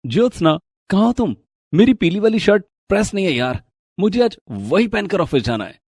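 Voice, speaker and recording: An actor playing a young married office worker, casual and brisk, in an animated moral story. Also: male voice, high voice, vocal fry, husky, no room sound, studio quality, exaggerated voice. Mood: impatient.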